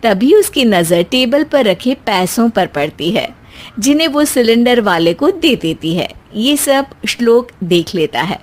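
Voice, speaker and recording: A woman's voice: fairly high pitch, very animated, measured pace. A woman narrating a scripted cartoon family story as a storyteller.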